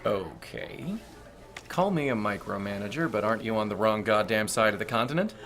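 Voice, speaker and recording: An actor playing an impatient, powerful executive in an audio drama, wry, commanding and condescending. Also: male voice, lowish voice, lively intonation, moderate pace, a bit bright. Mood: irritated, wary.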